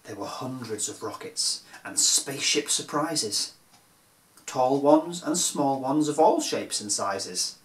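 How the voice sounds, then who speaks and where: A man reading a rhyming children's picture book aloud in a theatrical, deliberate manner. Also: medium pitch, lively intonation, roomy sound, rough recording. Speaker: children's storyteller.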